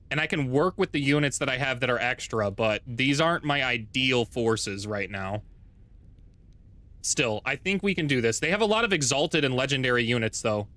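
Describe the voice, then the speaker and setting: Male streamer, deep voice, casual rambling commentary, dry close home mic. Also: pushed and bright, no room sound. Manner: rambling, self-correcting, thinks aloud. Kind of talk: casual gameplay livestream chat. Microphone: desk mic with noise gate.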